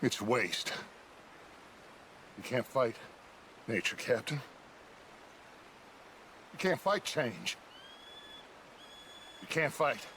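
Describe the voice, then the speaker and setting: An actor voicing a grandiose middle-aged American outlaw gang leader in a video game, with a theatrical, oratorical delivery. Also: male voice, medium pitch, sing-song, extremely slow, long pauses, a bit bright, a little hiss or hum, exaggerated voice. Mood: weary, philosophical. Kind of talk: dramatic video game acting.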